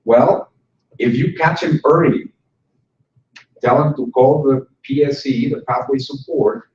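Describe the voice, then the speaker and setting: A man's voice: medium pitch, a little slow, darkish tone. Man presenting in a roomy hall. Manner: conversational, joking with the audience. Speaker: conference presenter. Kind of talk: conference talk. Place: conference room. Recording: roomy hall sound from a distant mic, dull and slightly noisy.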